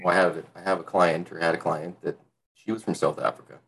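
A man's voice: low voice, fairly even intonation, fairly quick, creaky, a bit bright, slight background noise. A man with a Canadian accent hosting an interview as an informal, slightly rambling casual chat. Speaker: interview host.

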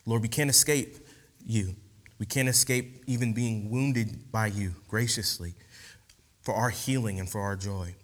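A man's voice: lowish voice, measured pace. A man giving a casual, slangy sermon after an opening prayer, talking with many fillers. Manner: relaxed, slangy, animated teaching with many fillers. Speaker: preacher. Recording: long hall reverb.

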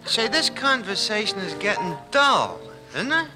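A man's voice: sits high, sing-song, unhurried. An American actor playing a naive, chatty, folksy barman sidekick in a 1940s radio drama. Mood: wondering, chummy. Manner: folksy, wondering. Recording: vintage 1940s radio broadcast with music.

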